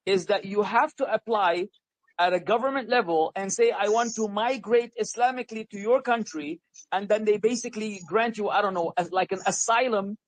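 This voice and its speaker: High-voiced man stepping in to mediate. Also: no echo, low-quality recording. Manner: interjecting, mediating. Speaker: caller in an online voice chat. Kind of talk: heated debate.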